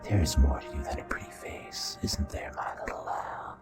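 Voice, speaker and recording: A man playing a charming, possessive, ancient royal vampire in an intimate romantic audio roleplay, speaking in a courtly, seductive, breathy way. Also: normal speed, lightly acted. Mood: intimate, admiring. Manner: courtly, seductive, often breathy half-whisper. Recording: soft voice over background music.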